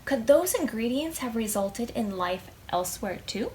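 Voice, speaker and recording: A woman reading a picture book aloud to preschoolers as a storytime host, expressive, drawing out words and putting questions to her young listeners. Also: fairly high pitch, normal speed, slight background noise.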